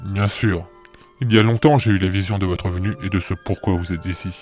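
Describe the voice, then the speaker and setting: A man playing a calm, knowing oracle in an amateur audio drama, explaining things in a measured, solemn way. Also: low voice, lightly acted. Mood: solemn, helpful. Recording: faint music behind the voice.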